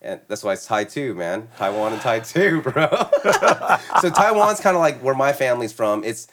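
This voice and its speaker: A man's voice: mid-range voice, big pitch swings, moderate pace, background noise. A young American man in casual chat, laid-back and slangy, often saying "man" and "you know what I mean". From United States.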